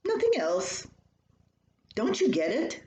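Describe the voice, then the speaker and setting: Casting: woman reader as giggly upbeat husband in a homespun story, emphatic, unhurried delivery, echoey room. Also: a little high, wildly swooping pitch, a little mellow, voice pushed up, full character voice. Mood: amused, insistent. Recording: roomy echo, faint background noise.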